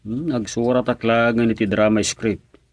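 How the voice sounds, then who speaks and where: A man's voice: mid-range voice, little pitch movement, unhurried, dry recording, lightly acted. A man playing an easygoing husband who teases his wife and mutters to himself. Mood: bantering. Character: husband Doming, easygoing and teasing. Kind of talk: radio drama acting.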